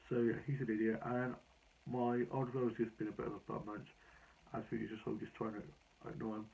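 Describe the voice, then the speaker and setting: A young British man talks to his vlog audience in a loose, anecdotal way. His voice is slightly hoarse and husky, with little rise and fall in pitch. The recording sounds dull and lo-fi. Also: mellow. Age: young adult. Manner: rambling, anecdotal. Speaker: vlogger. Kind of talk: casual personal vlog chat.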